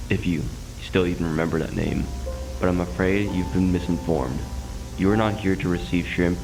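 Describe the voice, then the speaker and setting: A man playing a grave, ominous figure delivering a slow, solemn, menacing monologue in an analog horror cartoon. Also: low voice, low-quality recording. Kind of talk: scripted analog horror narration.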